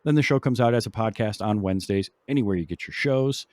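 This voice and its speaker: A man with a fairly low voice hosts a podcast in a casual, conversational style. He talks through thanks and show plugs. The sound is dry, with little room in it. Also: quick pace, a little mellow, dry recording. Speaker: podcast host.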